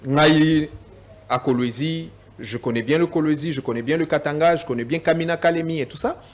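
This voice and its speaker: A man's voice: on the high side, fairly quick. A man with a Congolese French accent, a guest in a TV political debate, mixing French with Lingala and stumbling over repeated words.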